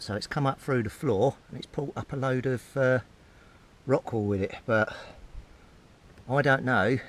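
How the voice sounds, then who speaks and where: A man casually explaining a hands-on DIY job as he works, in an informal, matter-of-fact way. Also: medium pitch. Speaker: DIY video maker. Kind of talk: casual hands-on demonstration, talking to camera while working. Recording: very noisy handheld recording with clicks, rustling.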